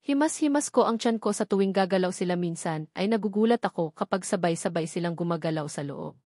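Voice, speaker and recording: A woman's voice: lowish voice, brisk, a little mellow, dry recording, clean studio sound. A woman reading a first-person romance novel as a scripted story narrator. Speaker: audiobook narrator.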